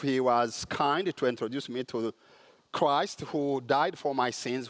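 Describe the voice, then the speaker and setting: A man from Rwanda with a Rwandan accent, giving a conference talk in a sermon style with frequent pauses and fillers. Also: medium pitch. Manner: halting, with many "uh" pauses. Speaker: conference speaker. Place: large conference hall.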